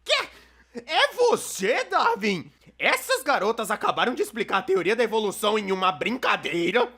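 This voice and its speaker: A narrator with a Brazilian accent gives scripted cartoon commentary in an expressive, conversational, comically emphatic way. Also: medium pitch, moderate pace, slightly rough. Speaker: narrator of a cartoon opinion video. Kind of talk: scripted animated commentary video narration, fan dub.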